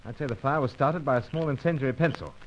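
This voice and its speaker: An actor playing a cool, gentlemanly British secret agent who speaks and narrates with urbane, clipped manners in an old-time radio serial. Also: male voice, mid-range voice, fast talker, lightly acted. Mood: composed. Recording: dull lo-fi old radio recording.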